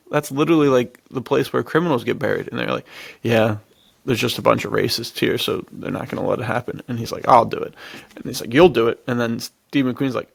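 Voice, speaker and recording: Enthusiastic man with very gravelly voice. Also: mid-range voice, dry recording. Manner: enthusiastic, slangy. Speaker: podcast co-host. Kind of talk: casual chat, retelling film scenes.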